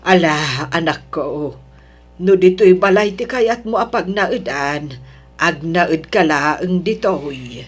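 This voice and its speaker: A woman with an Ilocano accent playing a protective older mother, fretting and gently scolding in a melodrama. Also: lowish voice, expressive, slow pace, slightly rough, soft background music, full character voice. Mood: indignant, concerned. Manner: fretful, motherly, exhorting. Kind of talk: Ilocano radio-style melodrama acting.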